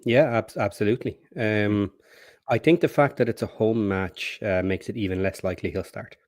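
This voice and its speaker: Hoarse man, measured and hesitant. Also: on the low side, a little mellow, no echo, clean studio sound. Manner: measured, hesitant, many ums. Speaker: podcast guest. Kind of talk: casual chat.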